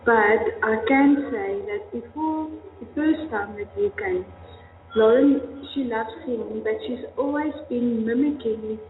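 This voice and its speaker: A woman's voice: a little high, a little slow. Earnest woman, echoey hall. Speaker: voice student and teacher. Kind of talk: candid workshop interview. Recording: tinny, echoey hall with faint hum.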